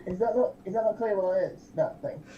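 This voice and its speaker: A woman's voice: mid-range voice, a little fast, dull audio, slight background noise. A woman bantering casually during an online game on a livestream.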